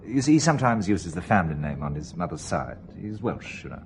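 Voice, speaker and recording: Uneasy businessman, lively intonation. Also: sits low, fairly quick, slight background noise. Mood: puzzled. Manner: hesitant, polite, understated. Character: uneasy, dry British businessman awaiting a friend. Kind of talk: radio drama acting.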